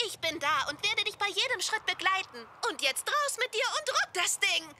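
Very high bright brainy girl, cartoon coach, earnest and precise. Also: very animated, normal speed, a little hiss or hum, heavily acted. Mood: reassuring. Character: clever, loyal, analytical girl coach. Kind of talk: German cartoon dub acting.

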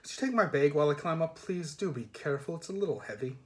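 A man's voice: a little high, lively intonation, fast, fairly bright tone. A man reads as a small, tidy, careful old-hand thief in a fantasy storybook. The manner is precise and polite, giving orders as if counting out change. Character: neat, careful, miserly little male criminal.

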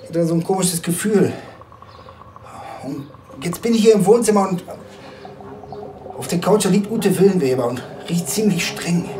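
An actor playing a touchy, evasive, worn-out investigator in a German audio drama, speaking in clipped, defensive phrases. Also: male voice, high voice, expressive, moderate pace, long pauses, mellow, echoey room, a little hiss or hum. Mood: uneasy.

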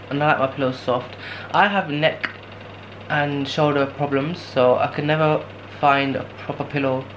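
A man's voice: a little high, lo-fi sound. A young man in his twenties vlogging solo while traveling, talking casually and rambling to a handheld camera. He is worn out and has a sore throat. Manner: rambling, tired, talks to camera in fillers. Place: guesthouse room indoors, later noisy narrow street at night. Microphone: camera held at arm's length. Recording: handheld camera with clear background noise.

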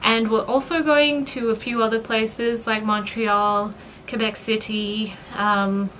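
A woman's voice: fairly high pitch, little pitch movement, slow, fairly bright tone. A young woman vlogging to camera about her dog, chatty and full of fillers and light laughs. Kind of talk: casual vlog talk to camera. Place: living room floor, then a bedroom vanity. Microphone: camera across the room. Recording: roomy, distant, noisy.

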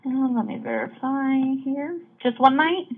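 A woman at a hotel front desk speaks on the phone in a somewhat high voice. She is obliging and matter-of-fact, keeping her customer-service talk casual and simple. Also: a little slow, phone-quality sound. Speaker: hotel receptionist. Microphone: heard over a phone call.

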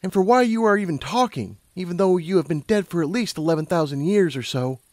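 A man's voice: sits high, lively intonation, fairly quick, darkish tone, dry recording, voice pushed up. A man voicing an anxious, sceptical young female unicorn pony with a hesitant, questioning, earnest delivery.

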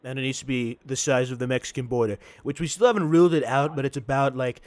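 A man's voice: medium pitch, fairly even intonation, fast talker, a little mellow, no echo, close to an everyday voice. Man impersonating a brash older American politician, repetitive, emphatic, prank-call comedy, close dry recording. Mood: brash, insistent. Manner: repetitive, emphatic salesman-like phrasing. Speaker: prank caller. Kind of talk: improvised prank phone call.

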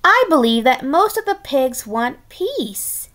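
Gentle female storybook bird played by a woman reader, raised pitch, earnest and lilting, unhurried. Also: very animated, heavily acted.

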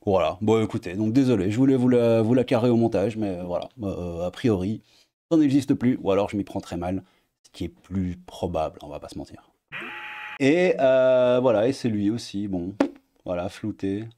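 A man in his thirties with a Belgian French accent, talking in a casual, sarcastic commentary vlog from his home desk and jumping into high mocking tones. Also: moderate pace. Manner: sarcastic, animated, swings pitch up for mock emphasis. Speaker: YouTuber commentator.

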